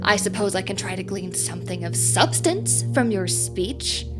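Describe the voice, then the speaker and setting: An actress in an audio drama playing a proud, exacting supervisor with precise, stiffly formal and sarcastic speech. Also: female voice, medium pitch, lively intonation, normal speed, soft background music, natural voice. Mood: icy sarcasm.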